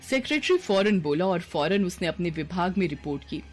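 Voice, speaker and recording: A woman's voice: fairly low pitch, lively intonation, moderate pace, soft background music. A woman narrator reading a scripted story for a cartoon video.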